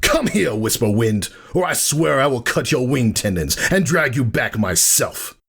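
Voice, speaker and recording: A man's voice: mid-range voice, sing-song, measured pace, creaky, a bit bright, breathy edge, voice pushed up, exaggerated voice. A man voicing a proud, furious pegasus warrior in a dramatic story reading, scornful and declamatory. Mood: furious, threatening. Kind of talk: dramatic fan fiction reading.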